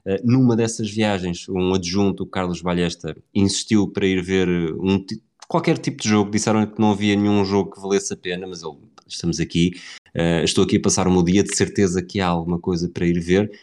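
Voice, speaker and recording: A man with a European Portuguese accent telling football history conversationally, somewhat haltingly, as a podcast co-host. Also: deep voice, steady tone, darkish tone.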